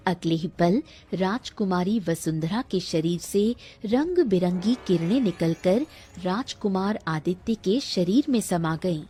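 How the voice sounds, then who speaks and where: A woman's voice: on the low side, animated, slow, mellow, husky. A woman storyteller giving scripted narration of a fairy tale.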